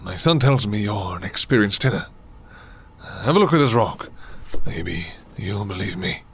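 An actor playing an obsessive, pleading old mine owner in a radio drama, insistent and repeating himself in agitation. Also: male voice, on the low side, animated, moderate pace, a bit bright, slight background noise. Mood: urgent, pleading. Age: older.

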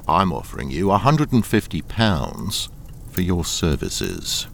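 A male actor as a menacing smuggling boss from a radio crime drama. His low voice swoops widely as he patronizes and threatens with smooth politeness. There is faint noise behind him. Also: big pitch swings, measured pace, full character voice. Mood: coolly coaxing. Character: suave menacing smuggling boss, coolly blackmailing a deserter.

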